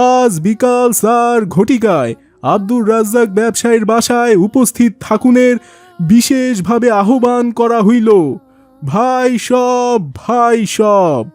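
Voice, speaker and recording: Man playing a poor cartoon rickshaw puller, high male voice, rural Bangladeshi dialect, humble, plain-spoken. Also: darkish tone, no echo. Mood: loudly announcing. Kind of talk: cartoon dialogue acting.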